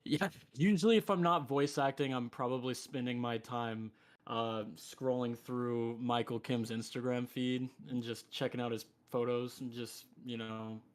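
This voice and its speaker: Voice actor dude rambling and joking, mid pitch. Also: male voice, fairly even intonation, unhurried. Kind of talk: casual chat.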